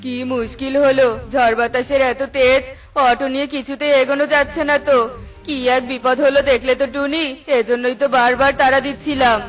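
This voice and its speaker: A woman voicing an impatient, nagging male bird, a husband, in a cartoon, with a scolding, hurried manner. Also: sits high, fairly even intonation, faint music behind, lightly acted. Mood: exasperated. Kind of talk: cartoon voice acting.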